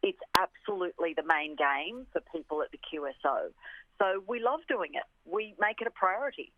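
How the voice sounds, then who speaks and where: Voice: mid-range voice, lively intonation, moderate pace, dry recording. An orchestra representative giving a radio interview, explaining with enthusiasm and repeating words for emphasis. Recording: phone-like line on radio.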